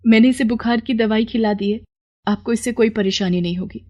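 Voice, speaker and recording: A woman's voice: on the high side, fairly quick, darkish tone, dull audio, close to an everyday voice. A woman voicing a poor, worried, caring mother in a moral story, earnest and homely as she pleads and scolds. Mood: pleading.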